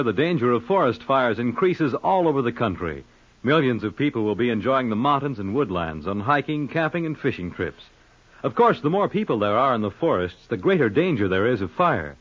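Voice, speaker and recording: A man's voice: mid-range voice, slightly rough. A man reading scripted announcements in a 1940s radio announcer's style. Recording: dull lo-fi old radio recording.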